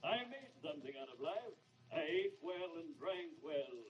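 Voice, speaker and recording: An actor playing the gleeful, taunting ghost of a big-spending playboy in an old radio comedy, with a cackling, drawn-out delivery. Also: male voice, fairly high pitch, big pitch swings, soft background music. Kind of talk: 1940s radio comedy acting. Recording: dull old radio broadcast recording.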